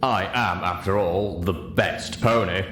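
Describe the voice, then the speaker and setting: A man's voice: deep voice, takes it slowly, fairly bright tone. A man voicing a vain, haughty elderly unicorn stallion prince with a pompous manner in an audiobook story. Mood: smug. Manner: pompous, self-admiring. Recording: roomy echo, clear background hiss.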